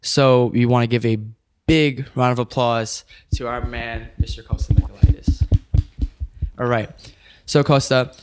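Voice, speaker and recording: A man's voice: medium pitch, steady tone, unhurried, darkish tone, smooth. A young man hosting a podcast interview, casual and upbeat, using fillers like "kinda". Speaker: podcast host.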